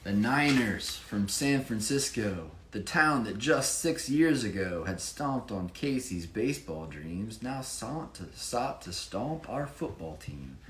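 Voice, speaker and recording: A man reads a rhyming picture book aloud, his pitch moving in lively swings. He is emphatic and breaks off to explain things casually to a child. The room is echoey, with faint noise behind him. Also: takes it slowly, few pauses. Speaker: children's author reading own book.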